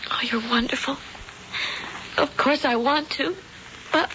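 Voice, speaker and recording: A woman's voice: sits high, measured pace. An actress playing a sweet, naive young woman, earnest, polite and hesitant, in an old radio drama. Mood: grateful. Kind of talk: 1970s radio drama acting. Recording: muffled old radio recording, faint hiss.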